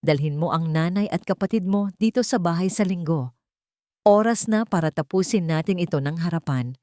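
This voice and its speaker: A woman voicing a wronged older sister in a story, calm and firm. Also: fairly low pitch, moderate pace, no echo, studio quality, close to an everyday voice. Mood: calm, resolute.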